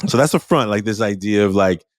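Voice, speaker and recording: A male teacher with a loud voice, talking candidly and telling lively stories in a conversation over a video call. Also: on the low side, a little fast, dry recording, studio quality. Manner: animated storyteller, acts out quoted students.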